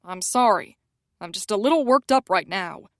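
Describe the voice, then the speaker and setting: A woman's voice: medium pitch, expressive, normal speed, dry recording, studio quality, close to an everyday voice. A woman voicing a panicky male publicist with a grating whine and a frantic, accusing way of talking. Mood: whining.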